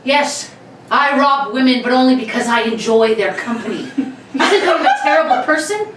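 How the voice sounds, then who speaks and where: A woman's voice: sits high, expressive, normal speed, fairly bright tone. A woman playing a cocky, politely menacing male armed robber in an old-time radio play, with mock-courteous theatrical delivery. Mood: boastful, gleeful. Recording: roomy, distant mics with faint noise.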